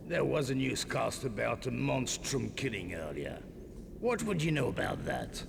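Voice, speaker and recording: An actor playing a shady male underworld fixer in a video game, with a deep gravelly voice and a guarded, curt, menacing manner. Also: big pitch swings, moderate pace. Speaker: character in a video game cutscene. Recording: game audio with long reverb and noise.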